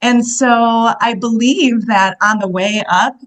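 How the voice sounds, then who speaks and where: A woman in her fifties from the United States, a guest telling stories in a casual interview, animated and laughing often. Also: on the high side, slow. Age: 50s. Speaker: guest, solo travel coach.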